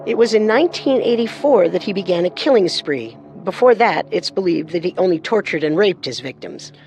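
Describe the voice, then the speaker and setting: A woman's voice: lowish voice, lively intonation, a little fast, a little mellow, a little hiss or hum. A woman co-hosting a true crime show, delivering scripted narration with dramatic phrasing.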